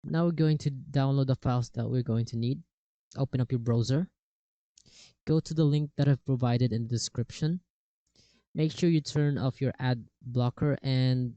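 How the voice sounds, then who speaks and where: A man narrating a casual step-by-step software tutorial, with somewhat halting phrasing. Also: mid-range voice, dry recording. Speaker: tutorial host. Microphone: cheap desktop microphone with software processing.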